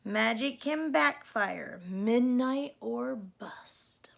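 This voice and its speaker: A woman's voice: on the high side, drawn-out words, creaky, a bit bright, exaggerated voice. A woman voicing a brash, bossy old cowgirl fairy godmother with folksy Western talk, in a storybook reading. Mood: stern warning.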